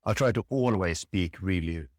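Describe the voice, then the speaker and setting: Man, halting, low voice. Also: a little mellow, no room sound, clean studio sound. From Sweden. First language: Swedish. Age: middle-aged. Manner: halting, restarts often, frequent "yeah". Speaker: podcast guest. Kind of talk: casual interview conversation.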